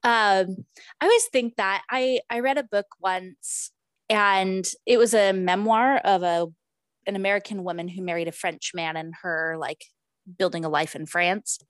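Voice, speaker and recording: A woman's voice: mid-range voice, a little slow, dry recording. Chatty woman podcast host, dry sound. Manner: chatty, fillers and restarts, laughs at herself. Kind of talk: casual chat.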